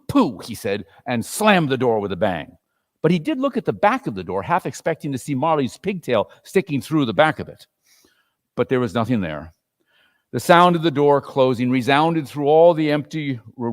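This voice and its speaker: A man narrating a classic story as a dramatic reading, with expressive, theatrical delivery. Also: mid-range voice, moderate pace. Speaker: narrator and performer. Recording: reverberant hall.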